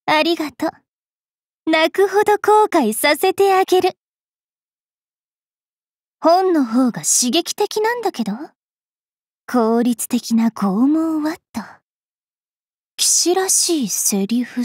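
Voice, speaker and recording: A woman playing a cruel, wilful young female fairy knight in an anime game, with a haughty, taunting, rough-mouthed delivery. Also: high voice, animated, slow pace, long pauses, no echo, studio quality. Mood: mocking, sweet. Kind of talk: anime mobile game voice lines.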